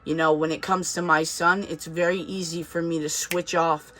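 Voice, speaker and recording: A man's voice: on the high side, flat delivery, normal speed, pushed and bright. A man with a slight Boston accent giving a casual, reassuring tarot reading, talking to viewers with many fillers and asides. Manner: chatty, reassuring, with frequent fillers and laughs. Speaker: tarot reader.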